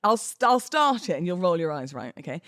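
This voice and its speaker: A woman's voice: lowish voice, big pitch swings, a little fast, a bit bright, no echo, studio quality. A woman hosting a podcast solo, talking informally and conversationally in a self-deprecating way. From United Kingdom. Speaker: podcast host. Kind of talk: chatty solo podcast with readings aloud.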